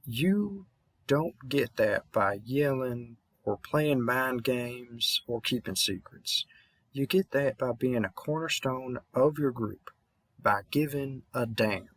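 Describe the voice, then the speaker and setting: A man's voice: medium pitch, slow, fairly bright tone, no echo. A man playing an experienced, kindly vampire hunter mentor in an intimate audio roleplay, rambling and slightly mumbling in a Southern accent. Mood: earnest, reassuring.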